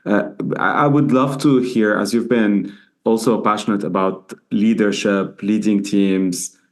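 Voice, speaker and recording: A man with a low, steady voice hosts a podcast interview. He talks in a measured way and often pauses on fillers. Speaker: podcast host.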